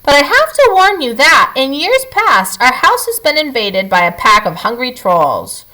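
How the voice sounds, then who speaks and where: A hospitable young storybook girl, played by a woman reading aloud, expressive and lilting. Her voice is high and bright, swinging up and down in pitch. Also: moderate pace, heavily acted, poor audio. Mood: welcoming, warning.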